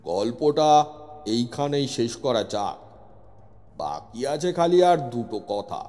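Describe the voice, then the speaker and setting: A man's voice: medium pitch, sing-song, slow pace, warm tone. A man narrating a scripted horror story in the first person, with a suspenseful, dramatic delivery. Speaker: narrator, telling the story as a police inspector. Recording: long reverb with music underneath. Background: music.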